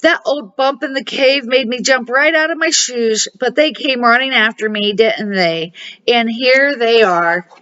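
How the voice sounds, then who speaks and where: Woman voicing an excitable little goblin, bright and high. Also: a little slow, clear, resonant tone. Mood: relieved, fond. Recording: dull, muffled home recording.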